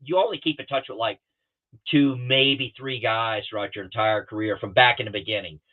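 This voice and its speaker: A man telling rambling, animated pilot stories on a talk show, with many restarts and acted-out quotes, heard over a remote call. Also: on the low side, fairly quick. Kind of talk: casual storytelling on a talk show. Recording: tinny phone-like line.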